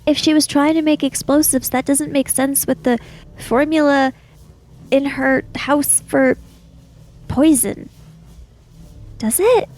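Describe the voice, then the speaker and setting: A woman's voice: high voice, steady tone, slow, pauses often, a little mellow. A woman improvising in character as a player in a tabletop roleplaying game. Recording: music bed behind the voice.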